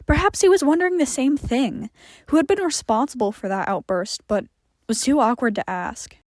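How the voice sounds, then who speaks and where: Female narrator for fan fiction audio, higher register, very dry recording. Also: moderate pace, no echo. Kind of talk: scripted narration of a story.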